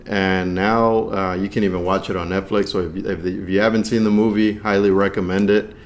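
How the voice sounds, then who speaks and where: A man's voice: low voice, flat delivery, moderate pace. A man talking casually on a podcast, explaining his points in an encouraging, conversational way. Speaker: podcast co-host or guest. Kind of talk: casual conversational movie discussion.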